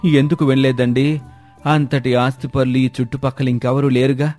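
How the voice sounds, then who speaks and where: A man's voice: medium pitch, flat delivery, normal speed, a little mellow, faint music behind, lightly acted. Man playing an effusive, respectful village father. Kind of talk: dramatised storybook dialogue.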